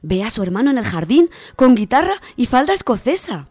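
A woman with an accent from Spain, presenting and reading children's stories on the radio in an expressive, dramatic way. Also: fairly high pitch, fairly quick, dry recording. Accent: Spanish from Spain. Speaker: radio storytelling contributor. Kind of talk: children's book reviews and expressive story reading. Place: radio studio.

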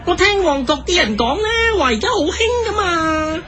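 A woman's voice: very high-pitched, sing-song, moderate pace, a bit bright, heavily acted. A woman with a Hong Kong Cantonese accent playing a brash, clueless, chatty woman interviewed in a queue in a radio comedy. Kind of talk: mock vox pop in radio comedy.

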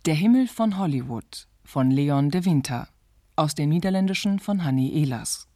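An announcer reads scripted credits for a radio drama. The voice is medium in pitch and recorded very dry, with no room around it. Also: animated, normal speed, dark tone, no room sound.

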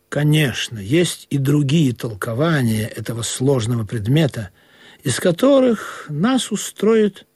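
An actor playing a wistful, questioning sailor who tells of an absurd sea voyage in a deliberate, musing radio play manner. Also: male voice, medium pitch, darkish tone, husky, no echo. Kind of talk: literary radio play narration and acting. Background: music under the voice.

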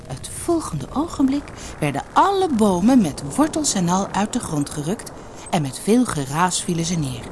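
A woman reading a fairy tale aloud as an expressive scripted storyteller. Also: on the low side, moderate pace, a little hiss or hum.

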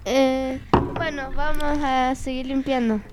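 A woman's voice: high voice, fairly even intonation, slow, background noise. A woman acting in an improvised radio play as a jittery young woman in a haunted house. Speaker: student actor in an improvisation exercise.

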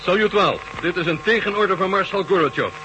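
An actor playing a commanding Soviet marshal in a science-fiction radio play, giving curt, authoritative orders. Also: male voice, high voice, a little fast, over loud music, natural voice. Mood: urgent, commanding. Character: commanding Soviet marshal directing space operations. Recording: noisy old radio drama recording.

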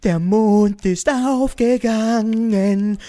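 An actress playing an exasperated, scolding office colleague with a reproachful, sarcastic manner in a comedic radio play. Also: female voice, on the high side, very slow, mellow, no room sound. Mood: icy, sarcastic.

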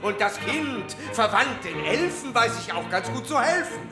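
An actor playing a playful fairy-tale pantomime narrator, reciting sing-song rhymed verse on stage. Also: male voice, very high-pitched, very animated, moderate pace. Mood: playful, festive. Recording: echoey, with loud music behind.

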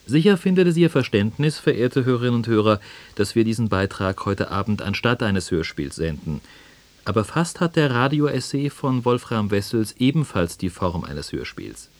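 A man with a fairly low voice acts as a radio host. He reads a prepared introduction and addresses the listeners in a scripted presenting style. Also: sing-song, normal speed, dry recording.

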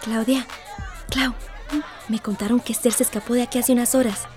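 Radio drama friend, acted by a woman: confiding young female captive, higher pitch. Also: steady tone, fairly quick, over music, crowd noise, lightly acted. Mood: relieved confiding.

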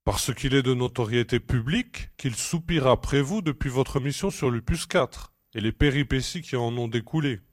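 A man's voice: medium pitch, moderate pace, hardly any pauses, dry recording, close to an everyday voice. Man playing a formal space admiral, dry studio sound. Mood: gently teasing. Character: commanding Lord Admiral of a space organisation, courteous, teasing. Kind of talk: French science fiction audio drama dialogue.

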